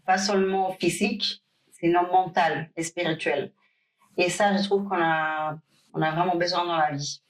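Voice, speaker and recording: A woman's voice: on the low side, fairly even intonation, unhurried, low-quality recording. Woman. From Cuba. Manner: hesitant, many fillers and restarts. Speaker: guest, pro bikini athlete. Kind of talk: podcast interview.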